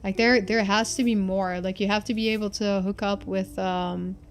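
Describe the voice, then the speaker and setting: A woman's voice: medium pitch, steady tone, normal speed, a little hiss or hum. A woman streaming a game, informally thinking aloud and reading the narration. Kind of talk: casual game commentary and read-aloud narration.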